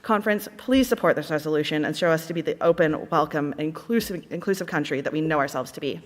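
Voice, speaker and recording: Canadian woman, low-ish voice, conference delegate delivering an impassioned speech in a reverberant hall. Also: fast talker, slight background noise. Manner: earnest, impassioned.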